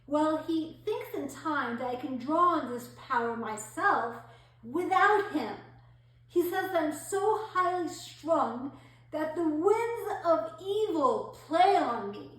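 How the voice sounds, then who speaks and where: A woman's voice: high-pitched, lively intonation, slow pace, fairly bright tone, heavily acted. A woman performing a theatrical monologue as a gullible, self-pitying society lady, affected, gushing and confiding. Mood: confiding, dreamy. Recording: very echoey room, distant mic.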